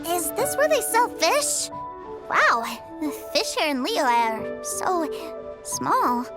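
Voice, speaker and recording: A small, wide-eyed boy in a video game speaks in a very high, lively voice. He is innocent and eager, always spotting something new to see. Game music plays underneath. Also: slow, heavily acted. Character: curious little boy, eager and wandering. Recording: game audio with music behind.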